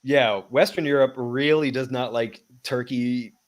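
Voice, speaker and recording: A man's voice: medium pitch, fairly even intonation, a little slow. Rambling, swearing male storyteller. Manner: rambling storyteller with profanity and stumbles. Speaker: co-host. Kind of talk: casual chat.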